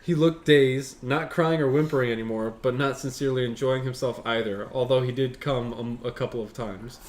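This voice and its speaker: A man's voice: mid-range voice, steady tone, brisk, a little hiss or hum. A man reading a story aloud as a show host, breaking off for casual, sweary commentary.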